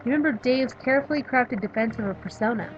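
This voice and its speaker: A woman in her thirties reading a visual novel aloud and chatting about it, emphatic while reading and quiet when talking about herself. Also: on the high side, quick pace, dull audio, soft background music. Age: 30s. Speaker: let's play streamer reading a visual novel. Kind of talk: reading game text aloud and commenting.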